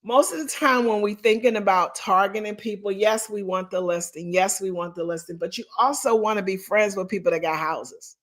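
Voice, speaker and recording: A woman, coaching real estate agents in an animated, emphatic way. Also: mid-range voice, brisk, few pauses. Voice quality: raspy. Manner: animated, emphatic, talks straight to the audience. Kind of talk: live online coaching session.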